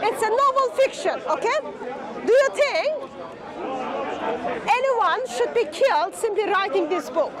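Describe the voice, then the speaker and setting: A woman with a Turkish accent argues in an open-air debate in a very high voice. She is insistent and keeps putting questions. A loud crowd surrounds her. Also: expressive, normal speed, long pauses. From Turkey. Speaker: street debater. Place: outdoors at a busy public speakers' corner. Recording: outdoor public recording, loud crowd chatter.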